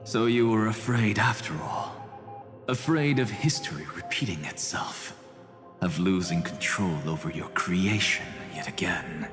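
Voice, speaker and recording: An actor playing a proud, cerebral scholar in a video game, wry, theatrical and defiant. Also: male voice, low voice, measured pace. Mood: knowing, sardonic. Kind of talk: dramatic video game voice acting. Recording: reverberant hall with background music.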